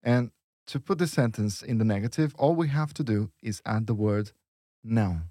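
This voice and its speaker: A man's voice: medium pitch, normal speed, mellow, no room sound, studio quality. A man teaching Portuguese in a lesson dialogue, explaining patiently step by step with examples.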